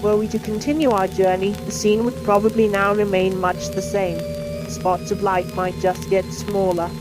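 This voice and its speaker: A woman's synthetic AI voice giving scripted educational science film narration in a measured, explanatory manner. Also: mid-range voice, flat delivery, low-quality recording. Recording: music playing under the voice. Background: music.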